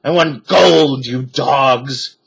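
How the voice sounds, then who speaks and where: A man's voice: medium pitch, expressive, gravelly, noisy background. A man doing a growling pirate captain. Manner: slow growling roar. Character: gruff greedy pirate captain, big and mean.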